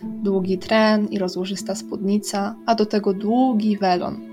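A woman's voice: mid-range voice, flat delivery, measured pace. Young woman radio host reading out a crime story, music going underneath. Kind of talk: scripted storytelling narration.

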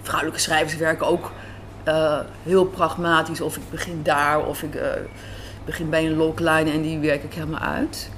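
A woman with a fairly low voice answers as an interviewed writer. She talks informally, thinking aloud and repeating herself for emphasis, with faint café noise behind her. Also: little pitch movement, moderate pace, fairly bright tone. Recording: faint background room noise. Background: faint café ambience.

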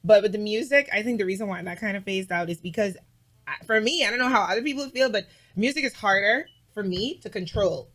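A woman vlogger chatting casually as an interview guest, emphatic and animated, with lots of "like". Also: mid-range voice, brisk. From Bahamas.

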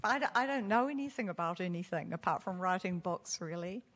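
A woman with a New Zealand accent chats in an interview about her writing. She rambles warmly, laughs easily and now and then loses her thought mid-sentence. Her voice carries a long reverb, as if she were in a large room. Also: on the low side, lively intonation, fairly quick. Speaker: author guest. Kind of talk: casual interview conversation.

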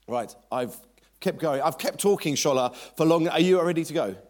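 A man's voice: a little high, lively intonation, moderate pace. A man preaching at a church service, chatty and joking with the congregation. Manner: chatty, self-deprecating, jokes with the congregation. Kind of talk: informal church sermon introduction.

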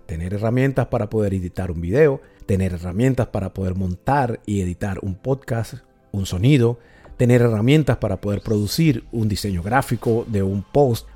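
A man with a low, mellow, slightly husky voice delivers a podcast monologue like a coach, measured and instructive, urging listeners on. Soft music sits faintly behind him. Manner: measured, didactic, motivational. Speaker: podcast host and communication trainer.

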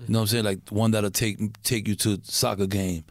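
A middle-aged man from Puerto Rico, a guest in a casual interview, who talks in a halting way, repeating words and using street slang. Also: fairly low pitch, little pitch movement, no echo, studio quality.

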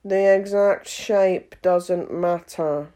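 A woman voicing a grave, pompous dodo bird in a storybook reading, speaking slowly and ceremoniously. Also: fairly low pitch, steady tone, warm tone. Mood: solemn. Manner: slow, drawn-out, ceremonious delivery.

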